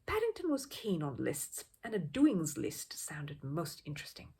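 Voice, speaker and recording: A woman reading a children's story aloud as an expressive, animated narrator. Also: sits low, unhurried, breathy edge.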